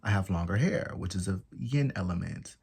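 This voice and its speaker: A man with a soft, slightly low and breathy voice explains things in a calm, methodical way. He works through lists point by point and pauses often to find his words. It sounds like a presenter teaching an audience. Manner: measured, methodical listing with pauses and fillers. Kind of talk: explanatory talk to camera.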